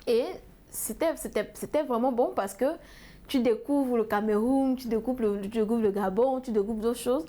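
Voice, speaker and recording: A young woman speaking as a panel guest, halting and searching for words. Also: on the high side, mellow. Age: young adult. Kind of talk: panel discussion.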